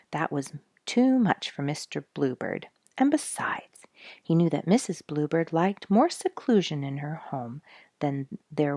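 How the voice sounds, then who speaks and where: A woman storyteller reading a children's book expressively, with deliberate pauses and casual asides. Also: deep voice, gravelly, mellow, dry recording, clean studio sound.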